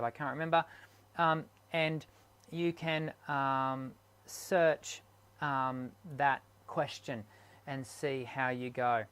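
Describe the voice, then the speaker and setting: A man's voice: high voice, a bit bright. A man who coaches singers, hosting an unscripted live stream Q&A and talking hesitantly with many ums and restarts.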